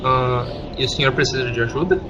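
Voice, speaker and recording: A man's voice: mid-range voice, steady tone, a little fast, lo-fi sound, music in the background, close to an everyday voice. A man playing a naive, apologetic young orphan boy in an audio drama, timid, polite and eager.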